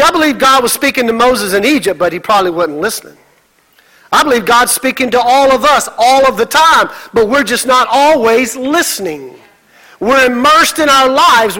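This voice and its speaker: A man's voice: very high voice, sharp tone, poor audio. A middle-aged man preaching a sermon as a pastor, emphatic, with repeated exclamations and rhetorical questions.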